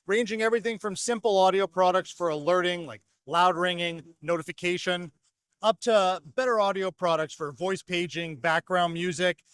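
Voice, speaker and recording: A man with a fairly high voice explains products in an articulate, orderly way. The sound is dry and close, like a company representative being interviewed. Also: a bit bright, clear, resonant tone, dry recording. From North America. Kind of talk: fluent product explanation at a trade show. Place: trade show exhibition hall.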